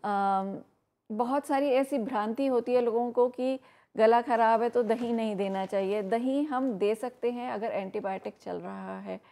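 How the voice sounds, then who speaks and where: A woman giving health advice on an educational program, explaining steadily with frequent pauses and fillers. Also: on the high side, dark tone. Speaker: health expert guest. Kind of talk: educational talk on live program.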